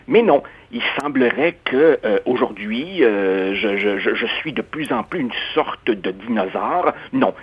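A man from Uruguay speaking as a radio columnist, measured and expressive with stammering restarts. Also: lowish voice, husky. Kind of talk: opinionated radio debate. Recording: dull lo-fi radio sound.

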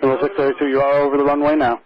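A man working as an air traffic controller, giving calm, reassuring procedural instructions over the radio. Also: mid-range voice, rapid-fire, dull audio.

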